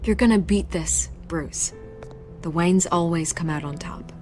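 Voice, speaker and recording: A confident female reporter voiced by an actress in a video game, with a fairly low voice. She is assured and coaxing, with faint music behind her. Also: unhurried, darkish tone, close to an everyday voice. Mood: persuasive, confident.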